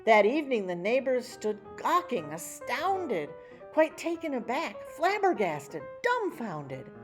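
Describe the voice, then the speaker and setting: Woman reading a storybook, slow and very lively. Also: fairly high pitch, over music. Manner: theatrical, measured phrasing with dramatic emphasis. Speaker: children's story reader. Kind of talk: expressive picture-book read-aloud in rhyme.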